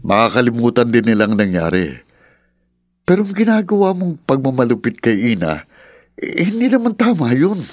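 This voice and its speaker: A man's voice: mid-range voice, huge pitch swings, normal speed, no echo, dull audio. A man acting in a Filipino radio drama as a middle-aged, concerned uncle who speaks in an earnest, admonishing way. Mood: earnest pleading. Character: concerned, protective uncle, the drunkard's younger brother.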